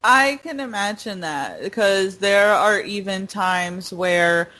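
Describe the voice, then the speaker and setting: A woman's voice: mid-range voice, sharp tone, rough recording. A young woman hosting a casual interview over a video call, bubbly and giggly, firing off questions. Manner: bubbly, giggly, asks questions in quick bursts. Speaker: interviewer, host.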